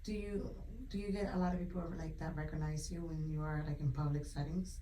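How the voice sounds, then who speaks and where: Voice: mid-range voice, level tone, a little fast, dark tone. An interviewer asking short, casual questions with brief backchannels. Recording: echoey room with faint noise.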